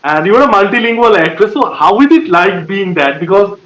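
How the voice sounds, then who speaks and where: Interview host: high-pitched man from India, chatty and checking in, in an echoey room. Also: expressive, normal speed, fairly bright tone. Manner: chatty, repeats questions, fillers like right. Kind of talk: interview questions.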